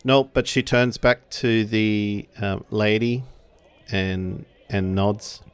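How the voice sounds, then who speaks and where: A man improvising third-person narration as a player in a tabletop role-playing game, halting as he thinks aloud. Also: lowish voice, clear, resonant tone.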